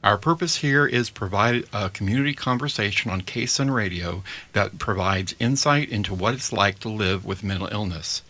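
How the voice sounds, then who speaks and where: A man hosting a community radio program, reading a measured, prepared opening and then interviewing a guest. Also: fairly low pitch, pushed and bright. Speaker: radio host. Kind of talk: scripted intro and interview. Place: radio studio.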